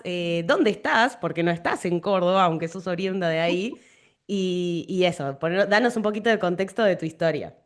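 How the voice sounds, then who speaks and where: A woman's voice: medium pitch, smooth. A woman with an Argentine Spanish accent, presenting and interviewing as a podcast host.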